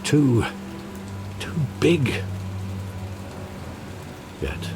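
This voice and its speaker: An actor playing a loyal, weary older butler and guardian in a video game, speaking in a measured, earnest, formal way. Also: male voice, mid-range voice, very animated, noisy background. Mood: reflective. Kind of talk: dramatic video game acting.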